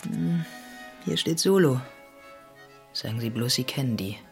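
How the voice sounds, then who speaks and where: Icy, deadpan female detective. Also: extremely low pitch, unhurried, gravelly, music in the background, full character voice. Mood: businesslike. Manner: deadpan, clipped. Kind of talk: German radio drama acting.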